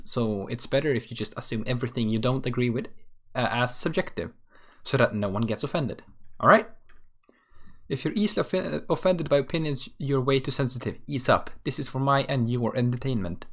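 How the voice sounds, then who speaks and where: Young man, Swedish accent, mid pitch, offhand self-mocking script-read monologue. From Sweden. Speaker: podcast host. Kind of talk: read-from-script solo rant.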